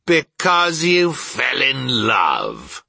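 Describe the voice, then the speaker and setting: A man voicing a grumpy, scornful old miser uncle in a storybook reading, stern and indignant, with a rough growl. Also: lowish voice, very animated, measured pace, pushed and bright, no echo, pitched above the performer's own voice, full character voice. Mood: biting, angry. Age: older.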